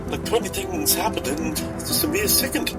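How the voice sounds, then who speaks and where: A man playing a tabletop game in character, excitable and stammering as he reacts. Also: sits high, moderate pace, loud music behind the voice. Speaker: player.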